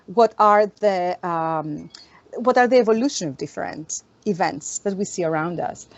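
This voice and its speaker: Woman telling animated stories. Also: lowish voice, normal speed, no room sound, highs cut off. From Greece. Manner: animated storytelling, laughs often. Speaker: astronomer, guest. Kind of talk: interview answers. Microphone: video call.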